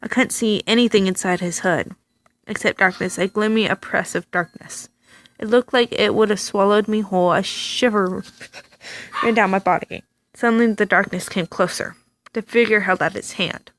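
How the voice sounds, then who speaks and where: A woman reading a story game aloud, with animated reactions and stammered asides. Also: mid-range voice, normal speed. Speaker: let's play reader.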